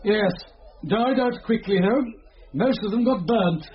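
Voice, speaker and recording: An actor playing a sardonic, grumbling British schoolmaster in a radio drama, speaking in clipped, caustic remarks. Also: male voice, high-pitched, unhurried. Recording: dull radio recording, background noise.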